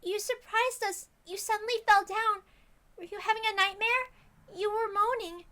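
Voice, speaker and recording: A woman's voice: extremely high pitch, fairly even intonation, moderate pace, voice pushed up. A woman voicing a timid, worried teenage girl in a visual novel, speaking hesitantly and anxiously. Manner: hesitant, soft-hearted, anxious.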